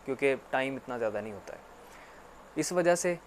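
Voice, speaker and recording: Male tutor, mid-pitched, rambling casual lecture, faint hiss behind. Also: expressive, fairly quick. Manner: repetitive, filler-heavy explaining with frequent "actually". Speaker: English teacher.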